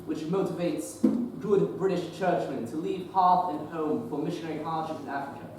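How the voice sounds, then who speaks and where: A man's voice: a little high, steady tone, normal speed, darkish tone, lightly acted. A young man acting an earnest, pious Victorian bishop in a stage play, formal and sermonizing. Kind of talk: teen stage play performance. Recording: echoey stage recording from far off, noisy.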